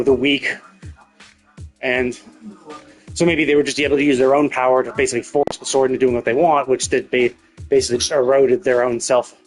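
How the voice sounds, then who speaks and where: A man's voice: mid-range voice, low-quality recording. A man streaming a game, giving casual, rambling commentary as he thinks aloud and swears freely. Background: game music.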